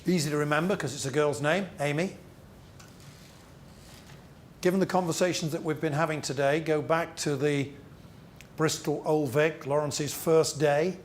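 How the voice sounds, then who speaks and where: A man leading a business training seminar, speaking emphatically with rhetorical questions and deliberate repetition. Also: fairly high pitch, fairly even intonation, slight background noise. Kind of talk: interactive training seminar talk to a group.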